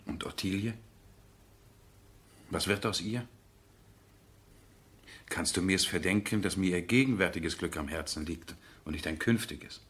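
A brooding, lovesick aristocrat in a 1970s German radio drama. His voice is low and husky, his phrasing formal and deliberate, with a stubborn streak. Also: a bit bright, heavily acted. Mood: evasive, defensive. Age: middle-aged.

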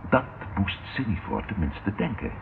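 Deep, gravelly police detective. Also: male voice, huge pitch swings, exaggerated voice. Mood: reasoning. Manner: deliberate, matter-of-fact. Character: measured, shrewd policeman colleague reporting grim findings. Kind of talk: radio play acting. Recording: muffled, noisy old radio recording.

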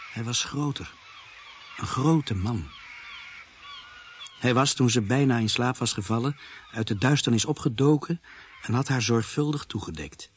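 Male narrator actor for radio mystery: medium pitch, measured, grave, faint background noise. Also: natural voice. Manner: measured, serious. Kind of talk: scripted dramatic narration. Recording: faintly noisy radio play soundtrack.

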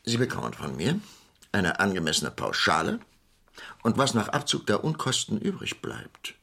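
An actor playing a rich, superstitious shipowner in a radio crime drama, imperious and theatrical. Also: male voice, on the low side, wildly swooping pitch, normal speed, gravelly, pushed and bright, heavily acted. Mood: excited, commanding. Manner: pompous, dramatic, swinging between outbursts and muttering.

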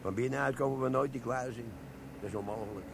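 An actor playing a sly, boastful older army sergeant in a radio play, stammering now and then. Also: male voice, mid-range voice, fast talker, creaky, reverberant, noisy background. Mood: scheming. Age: 60s.

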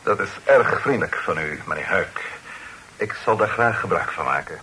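An actor playing an anxious, evasive middle-aged gentleman in a Dutch radio play, speaking formally and haltingly. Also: deep voice, animated. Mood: uneasy, polite. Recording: noisy old radio play recording.

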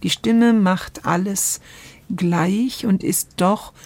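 A woman co-hosting a podcast, discussing radio drama reflectively in expressive, searching phrases with drawn-out emphasis. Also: mid-range voice, no room sound. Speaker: podcast co-host.

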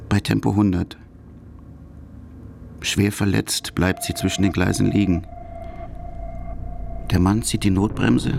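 A man narrating a radio documentary from a script, sober and in deliberate short phrases. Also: on the low side, vocal fry.

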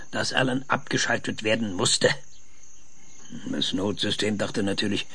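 An actor playing an impatient, sarcastic older scientist in a German radio play, lecturing emphatically with rhetorical questions. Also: male voice, mid-range voice, creaky, fairly bright tone, breathy edge, no room sound, exaggerated voice.

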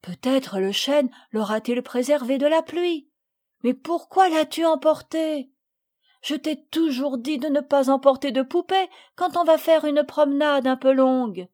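Brief: stern yet tender mother, read by a woman in a storybook, measured and firm. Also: sits high, darkish tone, pitched above the performer's own voice. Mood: reproving. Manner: firm, reproving, then tender. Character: gentle, moralizing mother of a girl. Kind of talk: audiobook reading.

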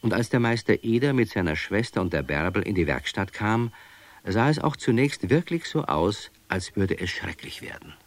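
A man playing the narrator of a children's radio play, telling the tale in an expressive scripted storytelling style. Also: fairly low pitch, moderate pace, a little hiss or hum. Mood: wry storytelling.

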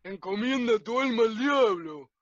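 A man's voice: extremely high pitch, animated, measured pace, dry recording, dull audio. A man playing a cruel, taunting member of a mob in a radio play.

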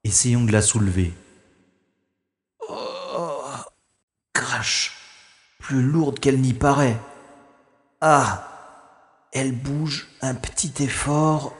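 An actor playing a wry special agent who narrates in first person with dry, understated quips. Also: male voice, mid-range voice, extremely slow, long pauses. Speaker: hero and narrator. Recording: music score playing underneath.

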